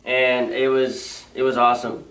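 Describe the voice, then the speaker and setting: A young man co-hosting a casual movie review, talking haltingly with many fillers and restarts. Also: medium pitch, flat delivery, fairly bright tone. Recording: echoey room, mic far off.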